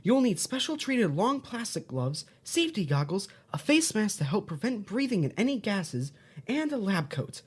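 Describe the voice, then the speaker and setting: A woman's voice: medium pitch, sing-song, normal speed, slightly rough. A woman playing a cheerful, bossy children's-show host in an audio drama, giving instructions in an upbeat, reassuring way. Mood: instructive. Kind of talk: audio drama, parody of educational kids' show.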